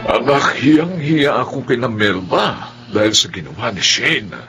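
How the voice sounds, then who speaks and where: A man's voice: mid-range voice, animated, measured pace, music in the background. A man acting a concerned older father in a radio drama, earnest and admonishing. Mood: worried, admonishing. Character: concerned older father, advising his grown son.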